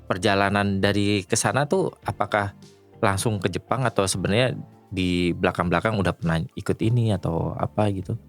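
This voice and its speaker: Woman podcast host, relaxed curious questions, extremely low voice. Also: a little fast, faint music behind. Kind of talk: casual interview chat.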